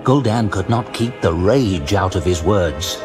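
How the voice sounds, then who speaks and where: Male narrator for fantasy audio drama: lowish voice, grave and unhurried, set over clear background music. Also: expressive. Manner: measured, grave storytelling.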